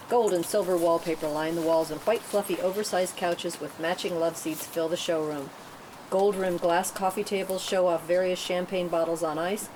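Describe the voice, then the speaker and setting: A woman reading stage directions as scripted narration at a table read. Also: fairly low pitch. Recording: clearly noisy room recording.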